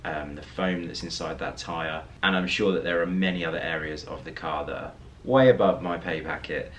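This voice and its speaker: A young man talking casually to camera in a hotel room, his voice slightly raspy after a late night. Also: low-pitched, moderate pace, slight background noise. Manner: relaxed, talks with hands. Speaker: vlogger. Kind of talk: casual direct-to-camera vlog talk.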